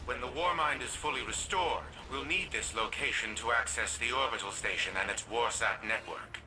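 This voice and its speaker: A man's voice: mid-range voice, normal speed, music in the background, lightly acted, low-quality recording. An actor playing a fussy, haughty male scientist in a video game, talking in a pompous, precise manner. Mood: businesslike, smug. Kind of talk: scripted video game dialogue.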